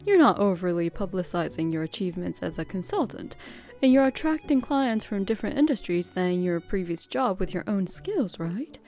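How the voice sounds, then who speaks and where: A woman playing a bewitching, teasing bar proprietress in a manga drama, coy and flattering. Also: medium pitch, close to an everyday voice. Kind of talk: scripted manga drama dialogue.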